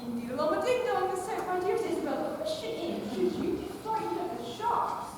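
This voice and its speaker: A woman playing a haughty, melodramatic high-born lady in a stage comedy, with mock-formal declamation. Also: sing-song, fairly quick. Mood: prim, grand. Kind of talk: theatrical stage comedy acting. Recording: echoey hall from far off, noisy.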